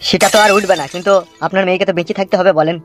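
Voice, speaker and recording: A man voicing a calm village doctor in a cartoon, placating and lecturing. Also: high voice, racing. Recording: faint music behind the voice.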